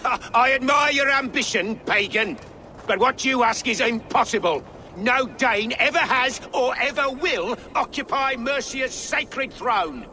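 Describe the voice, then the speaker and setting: Proud king, high, piercing voice. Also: measured pace, bright, forceful tone, slight background noise, exaggerated voice. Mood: scornful. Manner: imperious, archaic, declamatory. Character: proud, defiant, embattled medieval king. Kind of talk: theatrical video game acting.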